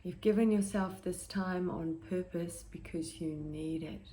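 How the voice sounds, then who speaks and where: Woman doing guided meditation, lowish voice, really slow n calm, soft breathy at ends of phrases, lots of pauses. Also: a little hiss or hum, rough recording. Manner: calm, gentle, measured, with long pauses between short phrases.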